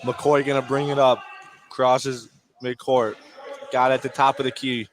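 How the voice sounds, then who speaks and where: A man's voice: medium pitch, flat delivery, a little slow, a crowd in the background. A man doing live radio play-by-play of a basketball game in clipped short phrases, exclaiming on big plays. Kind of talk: live radio sports commentary. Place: indoor gym during a live game. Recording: noisy basketball gym ambience. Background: bouncing ball and gym noise.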